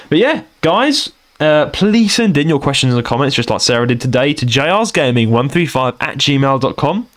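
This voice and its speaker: A chatty young man with a London accent, rambling and circling back over his own points. He talks at a middling pitch in an easygoing podcast way. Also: a bit bright. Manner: rambling, repeats himself, chatty. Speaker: podcast co-host. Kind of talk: casual podcast chat.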